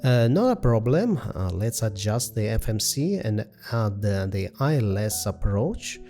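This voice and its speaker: Hesitant man narrating a flight simulator, mellow tone. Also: lowish voice, sing-song, measured pace, faint music behind. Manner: hesitant, methodical step-by-step delivery. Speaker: flight simulator video host. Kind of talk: step-by-step tutorial commentary on a flight simulator.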